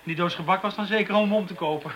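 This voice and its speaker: A man's voice: sits high, fast talker, a bit bright. A man playing a wry, matter-of-fact police detective in a naturalistic radio drama. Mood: wry, skeptical. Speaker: actor in a radio play. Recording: roomy, distant, noisy radio play recording.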